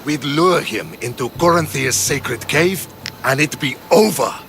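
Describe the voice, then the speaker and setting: A man playing a principled, measured Spartan soldier in dramatic video game dialogue. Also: on the high side, animated, creaky, fairly bright tone, soft background music, exaggerated voice. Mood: resolute.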